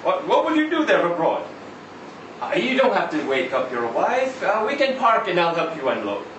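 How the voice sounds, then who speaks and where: A man's voice: high voice, huge pitch swings, a bit bright, lo-fi sound, heavily acted. Male actor as chatty Israeli cab driver, swooping intonation, insistent, sentimental, live monologue, distant echoey mic. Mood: pleading, bargaining. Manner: conversational, halting, with rhetorical questions and repeated tags. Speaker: reader at a live literary event. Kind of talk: dramatic monologue reading of a short story. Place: indoor live reading event. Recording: roomy live venue, distant mic, faint noise.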